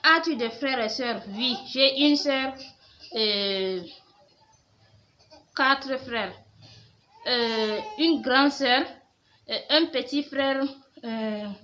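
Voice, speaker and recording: Young woman, fairly high voice, soft and hesitant, vlog Q&A, slightly muffled lo-fi sound. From Cameroon. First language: Fulfulde. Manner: soft-spoken, hesitant, frequent pauses. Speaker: home organisation YouTuber. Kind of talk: FAQ vlog, reading and answering questions. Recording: slightly muffled lo-fi audio with faint hiss.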